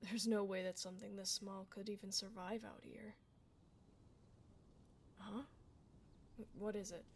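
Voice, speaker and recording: A woman's voice: medium pitch, little pitch movement, a little slow, long pauses, a little mellow, a little hiss or hum, lightly acted. A woman playing a blunt, kind tomboy dullahan adventurer in a casual, reassuring fantasy ASMR roleplay. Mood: curious, baffled. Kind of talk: ASMR fantasy roleplay, close conversational acting.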